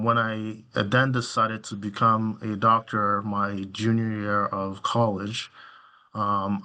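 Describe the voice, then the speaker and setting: Low, bright-voiced man, halting and level. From Nigeria. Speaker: physician, podcast guest. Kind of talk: informal interview answers.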